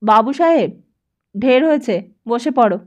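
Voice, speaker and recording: A woman narrator voicing a stern, excitable male schoolteacher who scolds pupils loudly and emphatically in an audiobook. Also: on the high side, a little slow. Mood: stern relenting. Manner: loud, exclamatory, emphatic. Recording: dull lo-fi sound.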